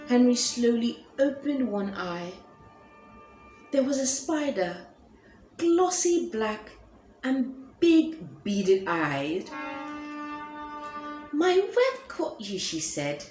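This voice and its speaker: Slow, lively woman reading a children's story. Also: a little high, echoey room, low-quality recording. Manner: expressive, animated. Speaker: storybook reader.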